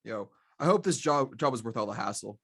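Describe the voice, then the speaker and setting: An actor playing a laid-back street operative in an audio drama, with teasing, slangy banter. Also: male voice, medium pitch, lively intonation, fairly quick, a bit bright, husky.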